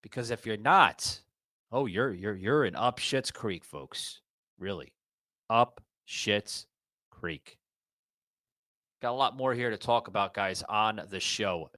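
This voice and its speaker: A man's voice: lowish voice, lively intonation, slow, pauses often, bright, forceful tone, no room sound, studio quality. A man in his forties hosting a podcast, rambling and repeating himself as he talks to his listeners. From Florida. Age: 40s. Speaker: podcast host. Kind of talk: casual rant monologue.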